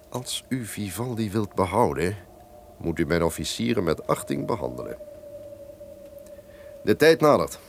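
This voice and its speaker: An actor playing an imperious, menacing duke in an old-fashioned radio drama, speaking formally, theatrically and with command. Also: male voice, lowish voice, a little hiss or hum.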